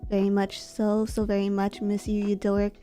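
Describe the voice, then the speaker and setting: A sick woman streamer speaks softly right into a close mic, her husky voice congested and mellow. She chats casually and reads out donations with a quiet, worn-down delivery. Faint music plays under her. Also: medium pitch, monotone, normal speed. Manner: soft-spoken, mic held close. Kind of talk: casual chat reading donations. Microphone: close desk mic.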